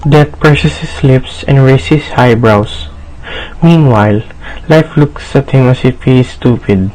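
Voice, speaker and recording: A man's voice: mid-range voice, measured pace, darkish tone, poor audio. A man narrating a radio drama from a script in a dramatic storytelling style.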